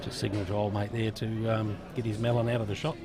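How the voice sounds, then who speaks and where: A man's voice: fairly low pitch, flat delivery, quick pace, a loud crowd. A man with an Australian accent co-commentating pool, casual and dry, with joking asides. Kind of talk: relaxed live commentary.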